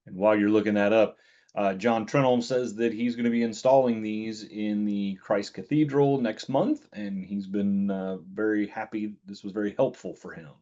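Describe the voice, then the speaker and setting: A man's voice: fairly low pitch, fairly even intonation, moderate pace, warm tone. A man moderating a webinar, relaying questions in a friendly, lightly joking way. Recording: dull lo-fi audio.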